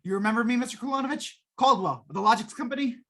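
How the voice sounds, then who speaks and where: A woman playing a frantic, hapless working man in an old-time radio drama, stammering and flustered in a husky voice. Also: on the high side, very fast, a bit bright. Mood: determined.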